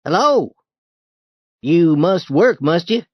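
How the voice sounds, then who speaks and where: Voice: medium pitch, big pitch swings, takes it slowly, no room sound, muffled recording, voice pushed up. A storyteller voicing an eager young boy who pleads and stammers. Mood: teasing.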